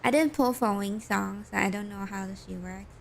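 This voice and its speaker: A woman's voice: medium pitch, moderate pace, gravelly, a little hiss or hum. A young woman with a Malaysian accent, streaming a game and chatting casually with her viewers while reading game text aloud.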